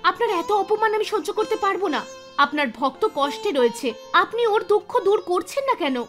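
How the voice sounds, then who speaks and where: A devoted female deity from a mythological cartoon, voiced by a woman. Her voice is very high, compassionate and entreating. Music plays clearly underneath. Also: expressive, normal speed, a bit bright. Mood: hurt, entreating. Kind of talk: animated mythological story dialogue.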